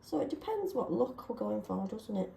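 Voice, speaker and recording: A woman chatting casually and self-deprecatingly while testing paint colours, slightly hoarse from a sore throat. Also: fairly high pitch, very animated, moderate pace, warm tone, echoey room, a little hiss or hum. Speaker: craft vlogger.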